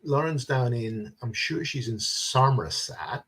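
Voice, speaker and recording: A man's voice: medium pitch, lively intonation, unhurried, crowd noise. A man hosting a casual live-stream chat, joking around and reading out viewer comments. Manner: jokey, banters and reads comments aloud.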